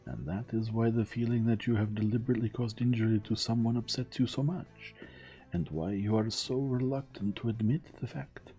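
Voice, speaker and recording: Male narrator doing a courteous, relentless Belgian detective, dark low tone. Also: steady tone, soft background music, natural voice. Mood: probing. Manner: measured, courteous, relentlessly probing. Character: shrewd elderly male Belgian detective, polite but probing.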